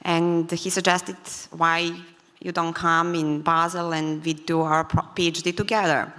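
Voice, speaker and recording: A woman from Serbia with a Serbian accent gives an unscripted personal talk, hesitating often with pauses and fillers. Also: lowish voice, flat delivery, fairly bright tone. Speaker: conference speaker, entrepreneur. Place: large indoor conference hall. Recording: reverberant conference hall.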